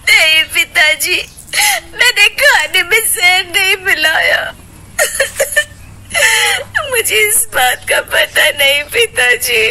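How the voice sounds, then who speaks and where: A woman voicing a gentle, distressed young daughter-in-law in a cartoon story, pleading respectfully and earnestly. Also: extremely high pitch, animated, takes it slowly, gravelly, pauses often, a little hiss or hum, pitched above the performer's own voice, full character voice. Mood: crying, pleading.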